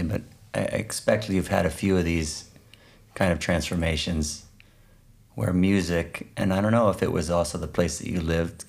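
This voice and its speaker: A man hosting an interview in a casual, thoughtful way, tentative and pausing mid-phrase as he builds his questions. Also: low voice, creaky. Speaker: podcast interviewer.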